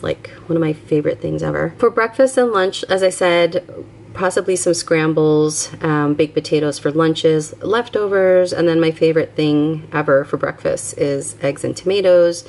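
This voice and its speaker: A young woman talks through her groceries and weekly plan in a relaxed voice-over, ticking items off one after another. Her voice sits a little low for a woman. The delivery is chatty and unscripted. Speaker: vlogger. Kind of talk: casual voice-over narration.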